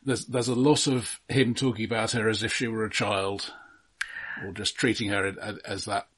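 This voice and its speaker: A man co-hosting a film podcast, chatting casually about movies in a measured, halting, dryly wry way. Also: lowish voice, flat delivery, fairly bright tone, breathy edge. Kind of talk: casual film review chat.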